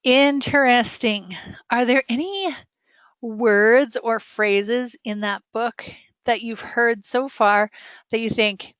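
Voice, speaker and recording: A woman's voice: on the high side, slow pace, a bit bright, clear, resonant tone, no room sound. A woman teaching a casual, interactive online writing lesson, chatty and full of questions for her students. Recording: dull, lo-fi online class audio.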